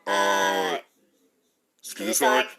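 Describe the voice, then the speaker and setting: A man acting a dramatic, wronged cartoon character in an amateur production, with melodramatic shouted delivery. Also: slow pace. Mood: animated.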